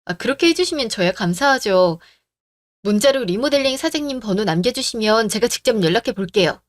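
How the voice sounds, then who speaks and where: A woman plays a composed young heroine in a radio drama. She speaks calmly and reasons firmly. Her voice is a touch high. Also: brisk, no room sound, studio quality, close to an everyday voice. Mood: gentle. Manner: calm, reasoned and firm. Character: calm, firm young woman who stands her ground.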